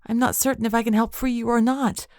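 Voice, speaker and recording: A woman's voice: on the high side, flat delivery, fast, a little mellow, no echo, studio quality, pitched above the performer's own voice, lightly acted. A woman voicing a female spirit living inside the heroine, earnest and urgent. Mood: worried.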